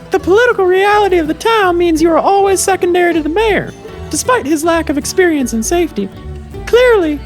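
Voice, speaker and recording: A woman playing a precocious, earnest boy who speaks in wordy, formal, pompous phrases in a radio drama. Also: very high voice.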